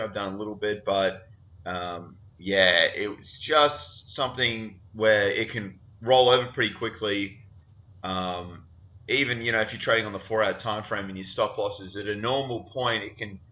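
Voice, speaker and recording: A man's voice: lowish voice, normal speed, sharp tone. A man hosting a casual trading chat, sounding nasal and stuffy from hay fever, rambling with lots of fillers. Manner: chatty, rambling with many fillers. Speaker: podcast host. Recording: dull lo-fi recording.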